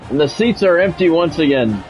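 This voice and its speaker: A man's voice: fairly high pitch, expressive, brisk, darkish tone, faint music behind, a faint crowd, rough recording. A man streaming a football video game, mixing play-by-play commentary with casual, blunt, salty chat.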